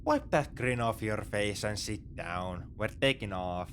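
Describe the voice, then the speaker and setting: A man playing a begrudgingly soft-hearted space pirate captor in a sci-fi audio roleplay, speaking to the listener in an exasperated, dismissive, teasing way. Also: on the low side, measured pace, slight background noise. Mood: wry, practical. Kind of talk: sci-fi ASMR roleplay acting, addressing the listener.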